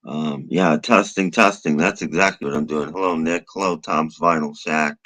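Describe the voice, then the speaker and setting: Man, low-pitched, rough slightly hoarse voice, casual livestream chat, halting, low-key delivery. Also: steady tone, bright, forceful tone. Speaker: live streamer.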